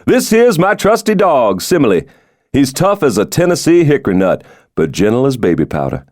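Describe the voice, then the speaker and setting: A man's voice: mid-range voice, huge pitch swings, moderate pace, a little mellow, no room sound, studio quality, voice pushed up. A man voicing a breezy, folksy middle-aged American President who jokes heartily, in an audiobook. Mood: jovial, teasing. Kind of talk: audiobook character dialogue.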